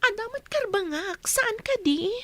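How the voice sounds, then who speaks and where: A woman's voice: very high voice, lively intonation, a little slow, pushed and bright, dry recording, exaggerated voice. A woman with an Ilocano accent playing a clingy, lovesick mistress in a radio drama, plaintive and melodramatic. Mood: coaxing.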